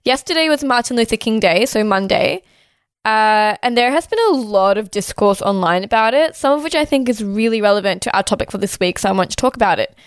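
Chatty woman, a bit hoarse. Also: on the high side, expressive, dry recording, studio quality. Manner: chatty, fast-flowing, with "like" and "uh" fillers. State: slightly hoarse with a sore throat. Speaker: podcast host. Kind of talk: casual podcast chat.

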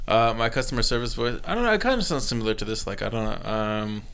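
A man in a relaxed podcast chat, telling an anecdote in an easygoing drawl full of slang. His voice sits in an ordinary middle pitch for a man and never strains. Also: quick pace, clean tone. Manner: laid-back storytelling, fond of slang. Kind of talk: casual chat.

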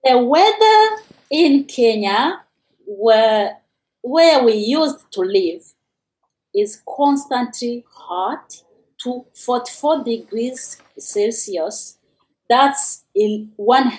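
Female radio storyteller, Rwandan accent, slightly high pitch, very unhurried delivery with dramatic pauses. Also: darkish tone, rough recording. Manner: dramatic pauses, rhetorical questions.

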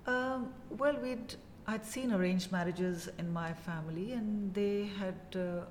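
A woman in her fifties from India with an Indian English accent, telling her story as a guest in a casual interview, measured and full of pauses. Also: mid-range voice, big-room sound, slight background noise. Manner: measured, many pauses and "uh" fillers.

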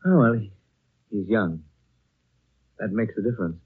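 An actor playing a cultured, mild-mannered English gentleman, speaking politely and formally in a 1930s radio drama. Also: fairly low pitch, normal speed, slightly rough. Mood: mild, apologetic. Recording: muffled, narrow-band old radio broadcast.

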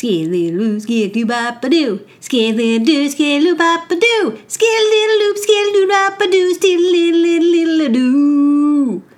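Woman as a high-pitched goofy scat singer. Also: measured pace, hardly any pauses. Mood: goofy, exuberant. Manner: loud, goofy, sing-song. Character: silly scat singer of nonsense syllables. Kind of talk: nonsense scat jingle.

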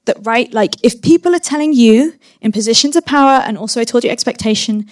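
A woman's voice: fairly high pitch, quick pace. A young woman, a film director giving a reflective interview answer, thinking aloud with frequent restarts. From London, UK. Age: young adult. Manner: thoughtful and circling, with many restarts and repeated "again".

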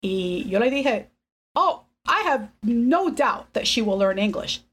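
A woman in her fifties, a podcast guest, telling family stories in a relaxed way and mixing English and Spanish. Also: on the high side, slow pace, slightly rough. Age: 50s. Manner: switches between English and Spanish, laughs often. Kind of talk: casual bilingual conversation.

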